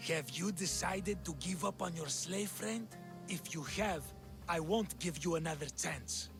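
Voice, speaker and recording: A smug slave master in a video game. His voice sits a bit high and drips with condescending menace, with loud music behind. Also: creaky. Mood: cold, annoyed. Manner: sneering, condescending, unhurried menace. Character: smug, callous male slave master and cult villain. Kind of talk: video game cutscene acting.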